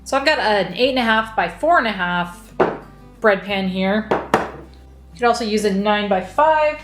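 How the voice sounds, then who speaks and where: A woman hosting a home baking video, explaining each step in a chatty, relaxed way. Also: mid-range voice, a little slow, echoey room, over music. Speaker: cooking channel host. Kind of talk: casual baking demonstration. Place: home kitchen.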